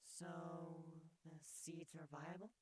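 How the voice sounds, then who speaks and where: A man's voice: fairly high pitch, level tone, drawn-out words, voice pushed up. A man voicing a playful, teasing female pony with blunt wit in a fan fiction reading. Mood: curious.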